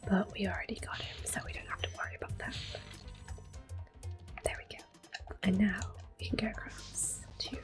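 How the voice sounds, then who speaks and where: Whispering woman doing soft ASMR commentary. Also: mid-range voice, little pitch movement, soft background music. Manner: gentle, unhurried, reassuring. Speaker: ASMR gameplay host.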